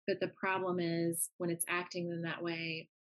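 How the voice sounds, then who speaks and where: A woman's voice: on the low side, little pitch movement, normal speed, low-quality recording. A woman co-hosting a podcast, working her way loosely through a long interview question. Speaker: podcast co-host.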